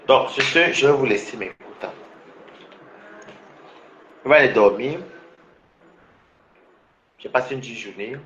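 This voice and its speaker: A man's voice: medium pitch, fairly bright tone, reverberant, muffled recording. A man from Cameroon in a casual, rambling personal monologue, full of restarts and fillers. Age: adult.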